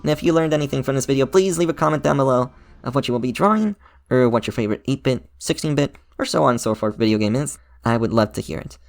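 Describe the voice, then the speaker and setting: A man's voice: medium pitch, quick pace, creaky. A man hosting his own channel, giving a playful, enthusiastic scripted tutorial with a sponsor segment. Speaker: channel host.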